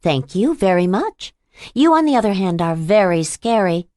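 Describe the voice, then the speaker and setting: A woman's voice: mid-range voice, very animated, moderate pace, darkish tone, no echo, clean studio sound, lightly acted. A woman narrator voicing a friendly woman shopkeeper in a witch costume who speaks in a playful, kind way.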